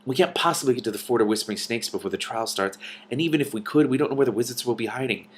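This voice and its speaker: A man's voice: medium pitch, very fast, close to an everyday voice. Casting: male reader as young princess, storybook, worried, earnest, plucky helper. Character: anxious but plucky young princess, helpful.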